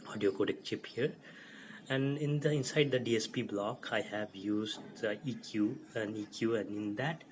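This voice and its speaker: A man giving an informal technical walkthrough as presenter of an electronics demo, explaining haltingly and repeating himself. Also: on the low side, slight background noise, low-quality recording. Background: music playing from the demo.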